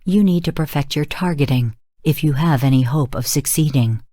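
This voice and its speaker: A cool female artificial intelligence coaching in a combat game. Her voice is deep for a woman and rather mellow, with a dry studio closeness. She is formal, clipped and deadpan, exacting and bluntly critical. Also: moderate pace, no echo. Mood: coolly instructive. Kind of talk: scripted game voice lines.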